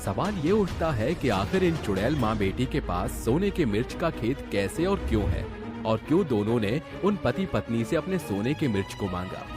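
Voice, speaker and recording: A man's voice: mid-range voice, sing-song, normal speed. A man narrating a scripted story as its narrator. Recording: loud music behind the voice.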